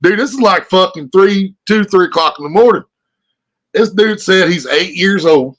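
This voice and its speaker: A man hosts a casual livestream, telling long, rambling, profane stories. His voice sits a bit above the usual pitch for a man. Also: lively intonation, moderate pace, a bit bright. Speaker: livestream host.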